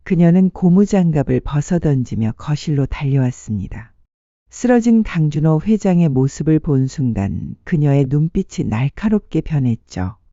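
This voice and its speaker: A narrator reading a dramatic story in a measured, sentimental storytelling manner. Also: medium pitch, expressive, warm tone, dry recording, highs cut off. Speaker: audiobook narrator.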